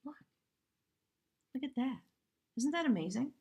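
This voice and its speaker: A woman with a hoarse voice giving a live spiritual tarot reading in measured, reverent short phrases. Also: high voice, expressive, darkish tone. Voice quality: husky, breathy. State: hoarse from much talking. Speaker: tarot reader, live stream host.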